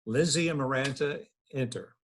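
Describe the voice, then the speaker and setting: A man's voice: medium pitch, moderate pace, slight background noise. A man narrating scripted stage directions at a casual table read.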